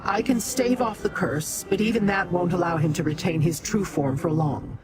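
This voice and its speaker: A woman playing a solemn mystic guide in a fantasy video game, measured and formal, with music behind. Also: fairly low pitch, lightly acted. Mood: grave, urgent. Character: solemn, urgent mortal-addressing mystic guide and schemer.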